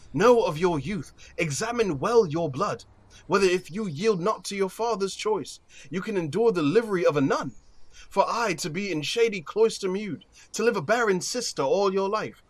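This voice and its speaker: High-voiced, stately duke played by a man. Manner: formal, measured, authoritative. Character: stately, measured Duke of Athens passing judgment. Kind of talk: Shakespearean verse audio drama acting.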